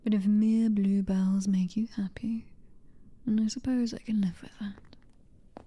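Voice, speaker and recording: A woman with a British accent voicing a possessive, centuries-old female vampire noblewoman in a slow, intimate whispered roleplay. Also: medium pitch, flat delivery, dark tone. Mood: teasing. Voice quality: breathy whisper. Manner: slow, intimate, teasing and controlling. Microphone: close microphone.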